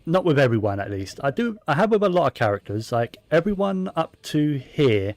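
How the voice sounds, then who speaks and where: A man's voice: fairly high pitch, very animated, a little fast, darkish tone, no echo. A man giving casual, rambling commentary while playing a video game, with plenty of fillers and restarts. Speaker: gaming streamer.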